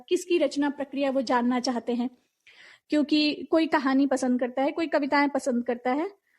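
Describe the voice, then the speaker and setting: A woman's voice: sits high, darkish tone. A woman hosting a casual live talk show, chatting directly with her co-host and listeners.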